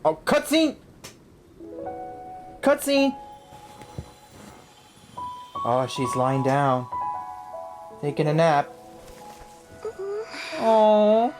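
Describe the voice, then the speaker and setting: A casual game streamer reacts in an emotive, exclamatory and slangy way. The delivery is slow and drawn out with big swings in pitch, and music plays behind it. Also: very animated. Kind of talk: casual gameplay reaction commentary.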